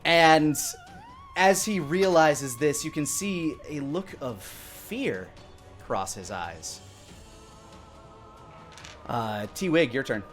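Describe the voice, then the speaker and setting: A man's voice: on the high side, wildly swooping pitch, very slow, long pauses. A man in his thirties running a live tabletop game as game master, improvising narration of the action. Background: music.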